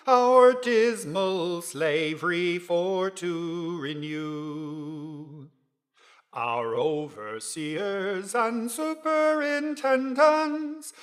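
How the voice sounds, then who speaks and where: A man singing a folk ballad, a lament delivered in long, drawn-out phrases. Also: sits high, a bit bright. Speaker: folk singer. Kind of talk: slow sung ballad with convict lament. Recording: long hall reverb.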